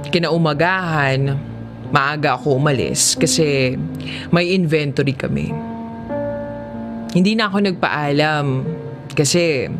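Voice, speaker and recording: A Filipino narrator telling a first-person confessional drama story in a measured way, often pausing mid-sentence. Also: mid-range voice.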